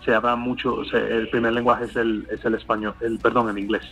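A man's voice: fairly low pitch, level tone, brisk, creaky, fairly bright tone, dull audio, faint music behind. Hesitant man with Cuban-Colombian accent. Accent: Cuban Spanish softened by Colombian. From Miami, USA. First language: English. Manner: hesitant, many fillers and restarts. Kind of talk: interview answers.

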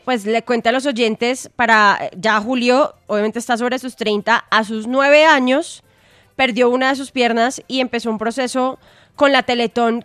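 Slightly high-voiced woman, dry studio sound. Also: a bit bright, smooth, dry recording. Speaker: radio interviewer, host. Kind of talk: conversational radio interview.

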